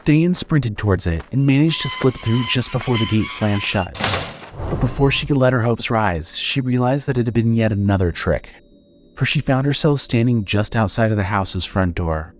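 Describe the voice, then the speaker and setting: A man narrating a scripted horror story as storyteller, measured and suspenseful. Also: lowish voice, expressive.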